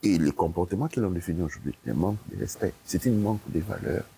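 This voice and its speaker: A man's voice: deep voice, fast talker, vocal fry, warm tone, slight background noise. A man giving lecturing interview answers, emphatic and repetitive, often checking with "ok?". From Italy. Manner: emphatic, repeats his points, checks with "ok?". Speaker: guest speaker.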